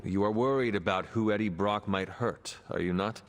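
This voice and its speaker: Man as stern warrior hero, low voice, formal clipped phrasing, video game cutscene, long reverb. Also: moderate pace, lightly acted. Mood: firm, frank. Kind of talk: cinematic video game acting. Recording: long reverb, faint music behind.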